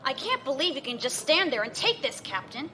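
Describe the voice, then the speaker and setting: A woman's voice: sits high, very animated, a little fast, bright, forceful tone, exaggerated voice. A woman playing a hot-headed, emphatic female military officer in an audio drama. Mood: angry, urgent. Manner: indignant, emphatic.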